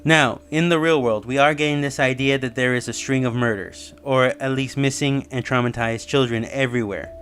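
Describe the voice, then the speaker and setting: A man's voice: medium pitch, normal speed, hardly any pauses, fairly bright tone. A man giving casual, rambling explanatory commentary as the host of a theory video. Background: music under the voice.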